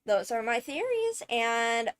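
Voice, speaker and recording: A woman giving enthusiastic fan commentary, thinking aloud with dramatic, deliberate phrasing and emphatic pauses. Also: fairly high pitch, very animated, clear, resonant tone. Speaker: video creator analysing a game character.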